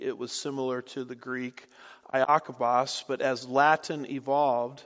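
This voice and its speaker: A man teaching through a Bible book in a deliberate way, with rhetorical questions and light asides. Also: medium pitch, fairly bright tone. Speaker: pastor. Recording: dull audio with long hall reverb.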